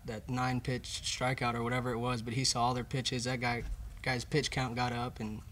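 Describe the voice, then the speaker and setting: A man's voice: medium pitch, flat delivery, moderate pace, a bit bright, a little hiss or hum. A young man, a college baseball player, earnestly answering questions about his team at a press conference. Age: young adult. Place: indoor press conference room. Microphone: table microphone.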